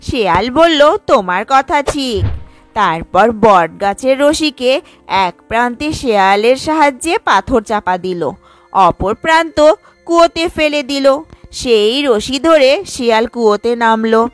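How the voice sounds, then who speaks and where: High, slow-paced woman storyteller. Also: animated, no echo, faint music behind. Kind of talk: scripted children's story narration.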